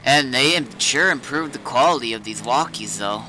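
Man, medium pitch, relaxed roleplay game chat, noticeable engine noise behind. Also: normal speed, low-quality recording. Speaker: roleplay gamer and streamer. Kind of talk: casual in-character game chat.

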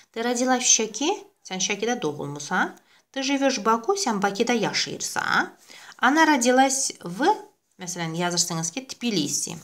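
Slow Azerbaijani-accented woman teacher. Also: mid-range voice. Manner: switches between two languages, repeats words slowly while writing. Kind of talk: lesson for children. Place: indoors at a desk, camera over a workbook.

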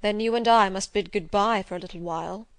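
A woman voicing a gruff, wry and domineering gentleman in a dramatised reading of a classic novel, speaking emphatically and teasingly. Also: medium pitch, brisk, dry recording, natural voice. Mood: coaxing. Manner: emphatic, theatrical, commanding.

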